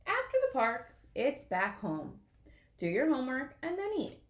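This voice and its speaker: A woman reading a children's picture book aloud in an expressive way, as if to young listeners. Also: high voice, a little slow, roomy sound. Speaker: storybook reader.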